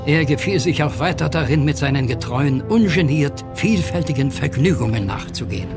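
Ernster Erzähler, deutliche Musik dahinter. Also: male voice, fairly high pitch, animated. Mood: grave. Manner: solemn, measured. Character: grave male storyteller of a gothic horror tale. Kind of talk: scripted narration. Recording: music behind.

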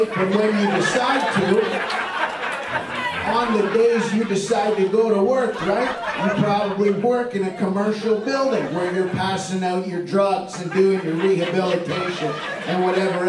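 A man's voice: high voice, noisy background. A man in his thirties from Canada doing stand-up crowd work, brash, profane and teasing, on a comedy club stage with a handheld mic. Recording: echoey club, heard from far off. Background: laughing audience.

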